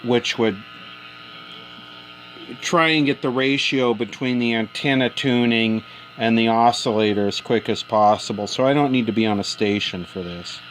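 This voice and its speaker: A man's voice: mid-range voice, normal speed, a bit bright, low-quality recording. Man explaining repairs over background noise. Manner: explains step by step, pointing things out. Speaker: radio repairer demonstrating alignment. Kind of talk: casual hands-on explanation. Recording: background noise, live workbench recording. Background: noise of a radio being worked on.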